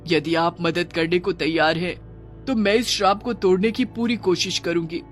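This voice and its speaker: A woman's voice: fairly low pitch, steady tone, moderate pace, faint music behind, lightly acted. A woman voicing a menacing, embittered forest witch in a children's horror cartoon, speaking with dramatic challenge. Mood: bitter, softening.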